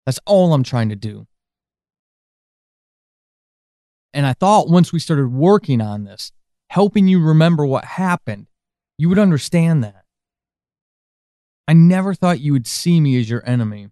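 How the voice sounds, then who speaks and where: A man playing a worried, frustrated friend in an audio drama monologue, speaking haltingly and intensely. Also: on the high side, lively intonation, dark tone, no room sound, clean studio sound, lightly acted. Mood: frustrated, pleading.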